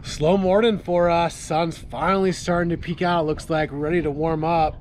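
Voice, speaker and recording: A man's voice: on the high side, normal speed. A man in his thirties on a small fishing boat, chatting casually to an action camera held at arm's length and explaining what he is doing. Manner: relaxed, chatty, explains as he goes. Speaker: fishing vlogger. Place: outdoors on a small fishing boat on open water. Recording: outdoor camera audio with boat noise. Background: boat and water noise.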